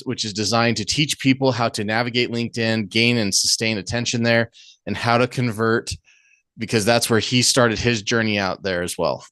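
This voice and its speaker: A man's voice: mid-range voice, fairly bright tone, smooth, no room sound. A man hosting a business podcast, introducing his guest and asking questions with enthusiasm. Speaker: podcast host. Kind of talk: podcast host introduction and interview.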